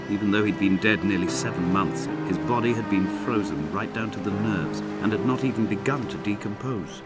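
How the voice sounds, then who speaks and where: Lone grieving castaway, male, played by an actor: low, solemn, poetic game narration over loud music. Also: natural voice. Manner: brooding, measured, solemn, poetic delivery. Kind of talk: literary dramatic monologue, scripted game narration. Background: prominent music.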